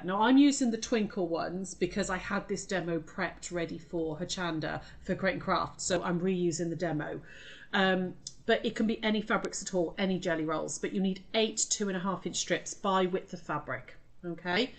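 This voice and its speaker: Lady with a cold, all snuffly, bubbly craft live stream chat on a webcam, greeting people by name. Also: mid-range voice. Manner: bubbly, greets viewers by name, laughs often. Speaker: craft shop owner hosting a live sewing tutorial. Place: home sewing studio. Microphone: webcam at desk.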